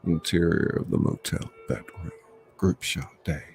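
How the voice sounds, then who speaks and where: Deep, gravelly, slow male narrator. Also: very animated, darkish tone. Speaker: narrator, reader. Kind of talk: scripted narration of screenplay stage directions. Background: faint music.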